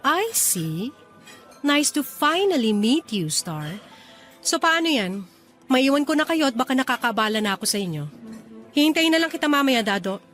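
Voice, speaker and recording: Commanding, sardonic jealous lover. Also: female voice, high voice, sing-song, moderate pace, fairly bright tone, soft background music. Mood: icily polite. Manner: pointed, controlled, sardonic. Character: cool, commanding jealous other woman, boss-like. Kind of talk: Tagalog radio drama acting.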